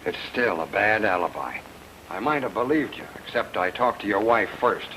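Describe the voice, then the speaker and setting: A man's voice: fairly low pitch, expressive, normal speed, noisy background, natural voice. Man acting curt, hard-boiled police chief. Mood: dry, skeptical. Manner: curt, dry. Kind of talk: 1940s radio noir acting. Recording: old radio drama, music behind.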